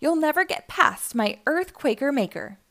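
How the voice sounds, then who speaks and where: A woman's voice: high voice, animated, normal speed, fairly bright tone, pitched above the performer's own voice. A woman voicing a jealous, sneaky male monster truck villain who schemes and gloats in a children's storybook. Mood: gloating.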